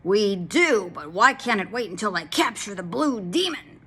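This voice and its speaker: A woman voicing a grumpy, blustering farmer in a storybook, barking his lines loudly. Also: medium pitch, lively intonation, moderate pace, a little hiss or hum, natural voice. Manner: loud, barking, bossy.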